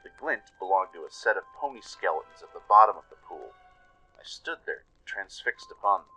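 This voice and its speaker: A man's voice: deep voice, lively intonation, moderate pace, bright, forceful tone, slightly rough, soft background music, poor audio. A man giving dramatic scripted narration as the storyteller and announcer of a radio play.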